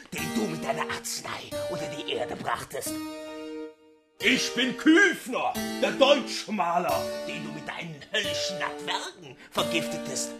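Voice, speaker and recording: An actor voicing vengeful, accusing ghosts of dead painters in theatrical, declamatory storybook style. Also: mid-range voice. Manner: declamatory, drawn-out repeated questions and curses. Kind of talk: theatrical audiobook acting.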